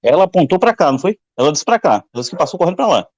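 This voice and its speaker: A man's voice: fairly high pitch, big pitch swings, rapid-fire, darkish tone. A man with a Northeastern Brazilian accent chatting casually and colloquially as a podcast panelist. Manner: colloquial, slangy. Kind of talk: casual chat.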